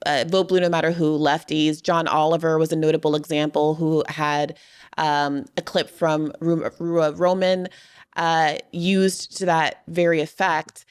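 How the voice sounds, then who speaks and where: A woman hosting a political interview, framing long, carefully qualified questions in a deliberate way. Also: deep voice, level tone, smooth. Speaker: podcast host, interviewer.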